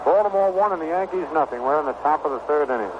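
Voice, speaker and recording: A man's voice: on the high side, expressive, fast talker. An American man calling baseball play-by-play in the style of 1960s radio commentary. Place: ballpark broadcast at a stadium. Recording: dull, noisy vintage radio broadcast.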